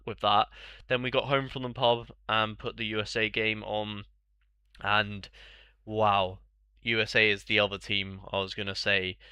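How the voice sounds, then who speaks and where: A young man, talking casually on a live stream, his voice stuffed-up and congested. His tone is bright and clear, and the sound is close and dry with no room around it. He rambles, answering viewers as he goes. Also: on the low side, dry recording. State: congested, bunged up.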